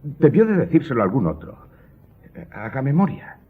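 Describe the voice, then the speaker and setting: A man's voice: medium pitch, big pitch swings, normal speed, a little hiss or hum, natural voice. An actor playing a nervous, obsequious steward in a radio drama, faltering as he answers his master. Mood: flustered. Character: deferential, nervous male steward serving a count. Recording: muffled, dull radio play audio.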